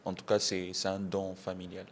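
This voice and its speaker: A young man from Kinshasa, a gospel artist answering interview questions in a measured way, often saying voilà. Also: deep voice, completely flat delivery. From Kinshasa, DR Congo. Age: young adult.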